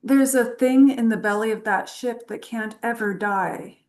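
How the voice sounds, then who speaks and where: A woman playing a flirtatious, knowing adventurer professor in a script table read, with a teasing, unhurried delivery. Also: fairly high pitch, darkish tone, lightly acted.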